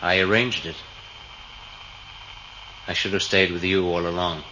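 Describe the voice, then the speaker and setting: An actor playing a brooding, earnest male android in a radio drama, speaking deliberately. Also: sits low, fairly even intonation, sharp tone. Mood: subdued. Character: brooding male android questioning whether his feelings are real. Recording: dull noisy 1980 radio drama recording.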